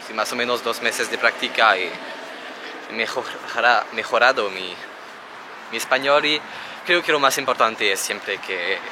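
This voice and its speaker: Slow-talking man vlogging. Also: medium pitch, noisy background. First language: French. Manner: halting learner's Spanish with restarts. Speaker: travel vlogger. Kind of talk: casual vlog talk to camera. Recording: music under the voice. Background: background music.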